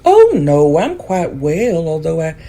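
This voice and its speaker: A woman voicing a brisk, prim, severe middle-aged farm woman from a storybook, matter-of-fact in manner. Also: low-pitched, wildly swooping pitch, mellow, exaggerated voice. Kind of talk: storybook character voice in an audiobook reading.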